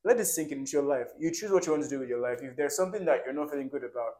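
A man's voice: mid-range voice, animated, racing, dark tone. A man co-hosting a podcast, talking earnestly and rambling, often checking that he is understood. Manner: earnest, rambling, keeps asking "do you understand". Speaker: podcast co-host. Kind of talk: casual podcast conversation.

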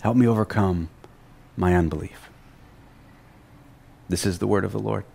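Deep gravelly man leading prayer. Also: moderate pace, darkish tone. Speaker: scripture reader and prayer leader. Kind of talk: scripture reading and prayer.

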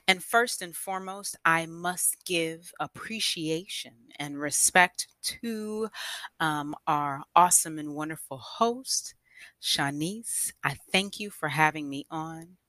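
A woman telling her own story as a guest storyteller, deliberate and emphatic, with rhetorical pauses. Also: sits low, pushed and bright, dry recording. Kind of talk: personal storytelling, prepared talk.

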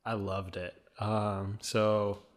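A young man from the American Midwest with a slight Minnesota accent, a guest talking casually and softly with many fillers. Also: lowish voice, level tone, very slow. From Midwest USA. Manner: soft-spoken, trailing off, many fillers. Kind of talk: casual interview.

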